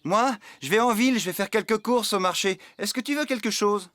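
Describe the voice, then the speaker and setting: A man's voice: high-pitched, brisk. A man voicing a friendly, forgetful farmhand in a children's cartoon, eager to please and slightly flustered. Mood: easygoing. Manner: animated, good-natured, slightly flustered. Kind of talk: French children's cartoon dubbing. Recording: background music under the voice.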